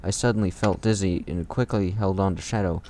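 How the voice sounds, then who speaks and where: A man's voice: sits low, a little fast, noisy background. A man reading fan fiction as an amateur, stumbling over words and breaking into casual commentary.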